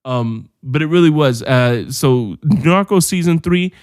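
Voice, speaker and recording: Young male podcast co-host, higher voice, dry close mic, ranty and profane, laughs through his lines. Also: expressive, a little slow, clear, resonant tone, no echo. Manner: ranting, swears freely, laughs mid-sentence. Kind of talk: casual chat.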